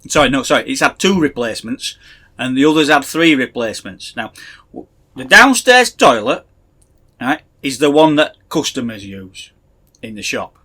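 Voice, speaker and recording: Bright, lively Yorkshire man, wry and chatty. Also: medium pitch, normal speed. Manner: wry, chatty, ranting asides. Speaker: podcast co-host. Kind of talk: casual banter.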